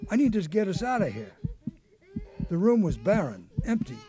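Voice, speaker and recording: An actor playing a haunted old grandfather, an escaped convict telling his story haltingly in a radio drama. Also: high voice, wildly swooping pitch, dark tone. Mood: haunted, agitated. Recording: noticeable background noise.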